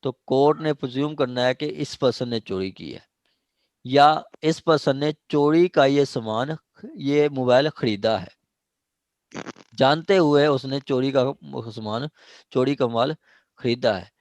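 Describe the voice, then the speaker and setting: A Pakistani man teaches a law class at a slow, patient pace. He reads legal text aloud, then explains it step by step, repeating points and checking that he is followed. The sound is dry and close. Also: mid-range voice, no room sound. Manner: repetitive, explains step by step with frequent check-in questions. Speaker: law lecturer.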